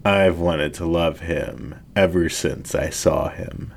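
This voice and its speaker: A man voicing an overwhelmed, submissive male werewolf in a story reading, halting and gasping. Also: deep voice, gravelly, pitched below the performer's own voice, exaggerated voice. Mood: yielding.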